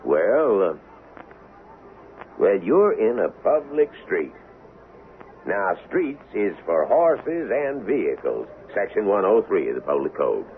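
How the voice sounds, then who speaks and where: Deep, swooping Old West sheriff. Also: male voice, sing-song, exaggerated voice. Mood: flustered, officious. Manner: drawn-out, folksy, officious. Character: blustering, folksy small-town Old West sheriff. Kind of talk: old-time radio drama acting. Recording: muffled, hissy vintage radio recording.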